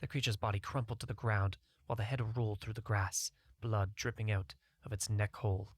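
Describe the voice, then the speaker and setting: A man's voice: lowish voice, steady tone, brisk, dry recording. A man narrating a scripted first-person fantasy short story as an audiobook reader.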